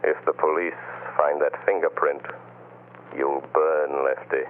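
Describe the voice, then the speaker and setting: An actor playing a menacing, mysterious avenger in an old radio drama, deliberate and sinister. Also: male voice, very deep voice, little pitch movement. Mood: accusing, ominous. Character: menacing mysterious male avenger taunting a crook. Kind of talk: 1940s radio drama acting. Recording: muffled, hissy old radio broadcast.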